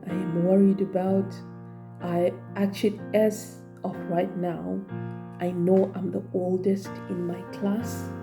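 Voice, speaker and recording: A woman in her forties with a Zimbabwean accent speaks in a slow, unhurried way, pausing often and reaching for words. Her voice sits a little low and has a gravelly edge. Plucked guitar music plays clearly underneath her. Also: flat delivery. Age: 40s. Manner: thoughtful, unhurried, with many pauses and fillers. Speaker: vlogger and trainee teacher. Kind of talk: reflective personal vlog.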